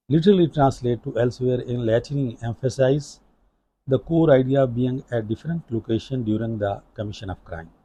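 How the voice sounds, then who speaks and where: Pakistani man lecturing, dark husky voice. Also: medium pitch, low-quality recording. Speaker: law lecturer. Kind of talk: legal lecture, explanatory.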